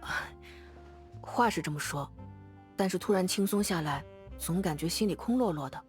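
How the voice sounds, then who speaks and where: A woman's voice: fairly low pitch, lively intonation, moderate pace, faint music behind, close to an everyday voice. A woman voicing an earnest, battle-seasoned young male sentry in a fantasy video game, speaking in a reflective, conversational way. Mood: wistful. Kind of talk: video game character dialogue.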